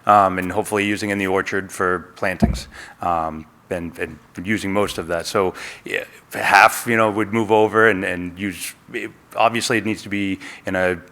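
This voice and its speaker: A landowner explaining his site plans at a public hearing, halting and full of fillers. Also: sits low, steady tone, sharp tone. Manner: halting, many fillers and restarts. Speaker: applicant landowner. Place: town hall meeting room.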